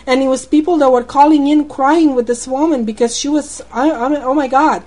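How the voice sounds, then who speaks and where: A woman's voice: high voice, steady tone, brisk, a little mellow. A woman with a Romanian accent, complaining indignantly on a phone call, rambling and repeating words as she goes.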